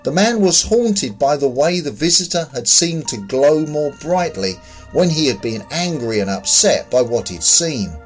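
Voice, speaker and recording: A man's voice: on the high side, big pitch swings, unhurried, faint music behind, rough recording. A man reading scripted fiction as a story narrator. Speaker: audiobook-style story narrator.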